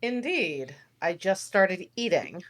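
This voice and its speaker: A woman's voice: fairly low pitch, sing-song, slow, a bit bright, exaggerated voice. A woman voicing a snobbish, irritable king and disapproving father in a comedic table read, haughty and scornful. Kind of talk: comedic table read of animated film script.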